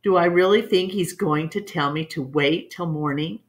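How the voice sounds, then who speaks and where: A woman reading a children's book aloud as a storyteller in an expressive read-along style. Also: lowish voice, moderate pace.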